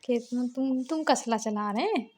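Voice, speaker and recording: High-voiced young woman, teasing casual chat. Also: normal speed, darkish tone. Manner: teasing, playful. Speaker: girlfriend on a phone call.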